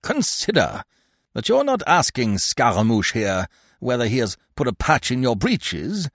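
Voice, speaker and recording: A man's voice: mid-range voice, wildly swooping pitch, a little slow, a bit bright, dry recording, studio quality, full character voice. A man voicing a huge, pompous, angry bourgeois father in a storybook, declaiming with contempt. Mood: bombastic, contemptuous.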